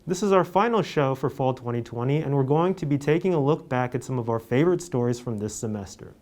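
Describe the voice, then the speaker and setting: A man's voice: medium pitch, lively intonation, fast, a little mellow. A young man reading scripted news as a student anchor and reporter. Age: young adult.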